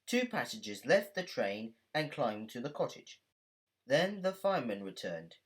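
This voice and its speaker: A woman narrating a children's story from a script in an expressive, deliberate way. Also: very deep voice. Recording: music under the voice. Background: music.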